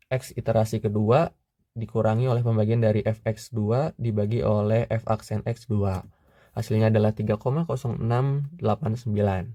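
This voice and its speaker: A man's voice: on the low side, no room sound. A young man narrating a screen-recorded math tutorial, talking through steps methodically with frequent "eh" fillers. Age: young adult. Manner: steady, methodical, pauses with "eh" fillers. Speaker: student tutor.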